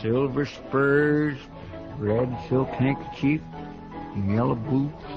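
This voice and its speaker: A folksy western deputy in an old radio drama. He is deferential and a bit simple, with a medium-pitched voice. The sound is muffled, with music. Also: steady tone, takes it slowly. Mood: idly impressed. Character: simple, deferential deputy sidekick, easily impressed. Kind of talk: 1950s radio acting.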